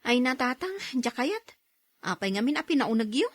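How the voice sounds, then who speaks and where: A woman's voice: high voice, very animated, normal speed. A woman playing a modest, frank working woman in her thirties in a radio drama, with an Ilocano accent. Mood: doubtful. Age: 30s. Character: modest, hardworking 31-year-old woman, bashful but frank.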